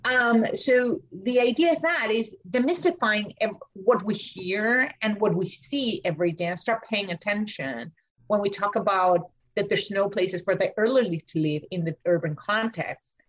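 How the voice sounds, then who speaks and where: A woman's voice: medium pitch, moderate pace, muffled recording. A woman from Argentina with an Argentine Spanish accent, an architect being interviewed on a podcast, talking things through with many fillers and restarts. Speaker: architect and author. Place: home studio in Washington DC.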